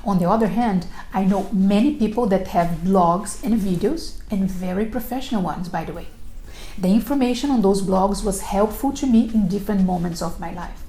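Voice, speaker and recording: A middle-aged woman with a non-native English accent, presenting a prepared video introduction in careful, deliberate phrasing. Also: mid-range voice, mellow. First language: not English. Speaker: recruiter presenting a video series. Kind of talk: prepared self-introduction to camera. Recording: roomy echo, faint background noise.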